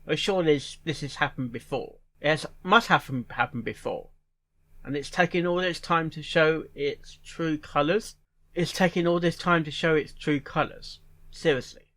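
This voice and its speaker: A man giving casual reaction commentary in a halting way, repeating phrases and musing aloud in questions. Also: on the high side, fairly bright tone. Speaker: reaction video host.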